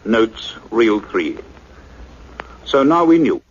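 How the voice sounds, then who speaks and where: An actor playing an earnest, intense male scientist in a radio play, with deliberate, dramatic phrasing. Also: lowish voice, sing-song. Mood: awed, tense narration. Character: earnest male scientist, doctor, excited yet grave and cautious. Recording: dull lo-fi radio recording, faint music.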